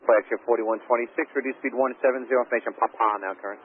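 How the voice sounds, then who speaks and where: A man's voice: mid-range voice, steady tone, racing, phone-quality sound. A man working as an air traffic controller, speaking in clipped phraseology over aviation radio.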